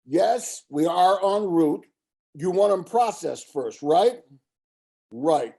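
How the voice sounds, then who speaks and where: Woman playing a slow, gravelly security guard. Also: fairly low pitch, expressive, dark tone, exaggerated voice. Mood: businesslike. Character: businesslike security guard muttering into a radio. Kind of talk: screenplay table read. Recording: virtual table read over video call.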